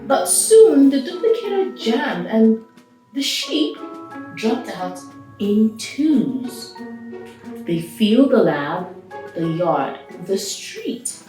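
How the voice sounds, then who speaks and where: A woman reading a story aloud to children as host, expressive and enthusiastic, with chatty asides and drawn-out emphasis. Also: on the high side. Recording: very echoey room, distant mic, music behind.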